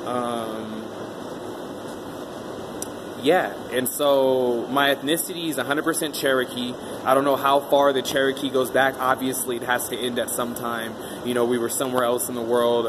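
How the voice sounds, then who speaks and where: A man tells his own story in a loose, rambling monologue, often stopping for an um. His pitch sits in a middle range. A clear air-conditioner hum runs under his voice throughout. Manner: rambling, many um fillers. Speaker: vlogger interviewing himself. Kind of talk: casual self-interview monologue.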